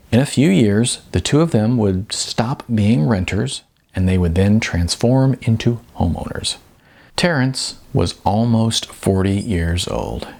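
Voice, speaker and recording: A man's voice: fairly low pitch, vocal fry, a little mellow. A man, an author reading from his own novel in an audiobook narration style with casual asides.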